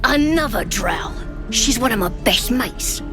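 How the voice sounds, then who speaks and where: High-pitched cocky goblin. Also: female voice, animated, a little slow. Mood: wheedling. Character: cocky female goblin prisoner, zealous cult follower. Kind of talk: video game character acting. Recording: music playing behind.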